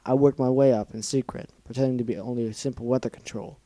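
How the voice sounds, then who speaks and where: A man's voice: medium pitch, fairly even intonation, fast, warm tone, no echo, close to an everyday voice. A man voicing a cruel, bitter female pegasus villain who screams accusations in a fan story reading. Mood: furious.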